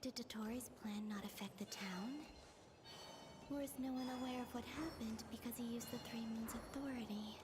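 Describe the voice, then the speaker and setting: A woman voicing a soft-spoken, puzzled young woman in a fantasy video game, often musing to herself. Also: fairly high pitch, fairly even intonation, moderate pace, noisy background, natural voice. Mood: hushed wondering. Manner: musing aloud to herself in hushed, breathy wondering. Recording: game music behind the voice.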